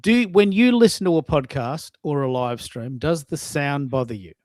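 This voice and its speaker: A man's voice: fairly high pitch, moderate pace, darkish tone, dry recording. Conversational male host, dry close sound. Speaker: host of a live social audio show. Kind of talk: casual conversational hosting.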